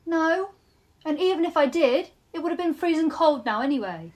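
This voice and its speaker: A woman's voice: high voice, expressive, normal speed, a little mellow. A woman acting in an audio drama as a bored, sceptical young woman with a dry, dismissive, sarcastic manner. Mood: exasperated, sarcastic.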